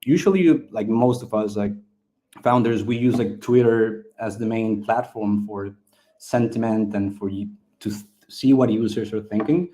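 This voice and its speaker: A man's voice: lowish voice, moderate pace, mellow, rough recording. A man from Chile, a Spanish speaker, talking casually as an interview guest and often repeating words as he explains. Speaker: game studio founder and interview guest.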